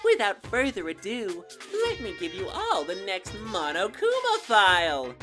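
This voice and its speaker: Gleeful robot bear mascot, extremely swooping pitch, theatrical mock-polite announcer, anime game fan dub, music behind. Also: female voice, wildly swooping pitch, measured pace. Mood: gleeful, mock-official.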